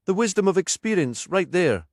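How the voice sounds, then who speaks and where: A man voicing a gruff, world-weary police detective in an audiobook, snappy, dry and authoritative. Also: high-pitched, sing-song, normal speed, no echo, voice pushed up. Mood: brisk, teasing.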